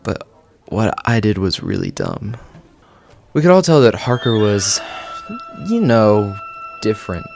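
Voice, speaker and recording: An actor playing a remorseful teenage high school boy in an audio drama, earnest and halting in his delivery. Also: huge pitch swings, gravelly, a little mellow, faint music behind, heavily acted. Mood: regretful. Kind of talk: scripted audio drama acting.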